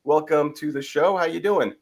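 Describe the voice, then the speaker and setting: A man's voice: a little high, moderate pace, darkish tone. A man hosting a sports podcast in a casual, conversational style. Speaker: podcast host.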